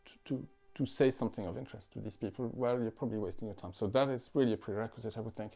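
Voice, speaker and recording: Thoughtful man from France, halting speech. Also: fairly low pitch, vocal fry, mellow, soft background music. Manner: thoughtful, halting, many fillers and restarts. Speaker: musician. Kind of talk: reflective interview talk. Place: living room at home.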